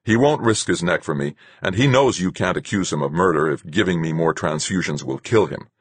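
A man's voice: deep voice, expressive, a little fast, breathy edge, no echo. A man playing a suspicious, bitter man in an audiobook, blunt and accusing in the way he talks. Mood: angry. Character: sick, suspicious, bitter man, story's hero.

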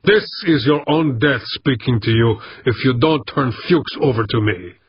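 A man's voice: medium pitch, unhurried, slightly rough, no echo, lo-fi sound. A man voicing a menacing male attack-ship commander in an audiobook, guttural, commanding and threatening. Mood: angry.

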